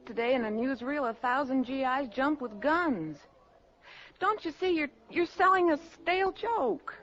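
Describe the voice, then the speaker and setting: Blunt, high-voiced female press agent. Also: animated, unhurried, a bit bright, faint music behind. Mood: frank, insistent. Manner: blunt, slangy. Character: brisk, outspoken female carnival press agent. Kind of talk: 1940s radio acting. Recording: dull old 1940s radio recording.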